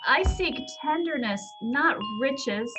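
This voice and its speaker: A woman acts a gentle young Victorian fiancée, earnest and quietly resolute. She speaks slowly in a fairly high voice, sad and measured. Music plays clearly behind her. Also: expressive. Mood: sorrowful, resolute. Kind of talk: dramatic reading of a Victorian Christmas story.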